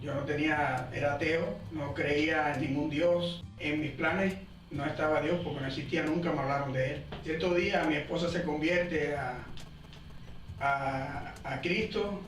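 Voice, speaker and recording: A man from Cuba, calmly telling his personal testimony of coming to faith. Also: fairly high pitch, a little slow, slight background noise. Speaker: church member giving testimony. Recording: echoey, distant, with music behind.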